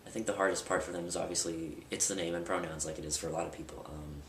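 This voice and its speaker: Deep-voiced young man, candid vlog. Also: little pitch movement, fast talker. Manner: candid, rambling with fillers and restarts. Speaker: vlogger. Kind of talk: casual personal vlog. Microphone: camera mic at a distance. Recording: roomy, distant, with loud background noise.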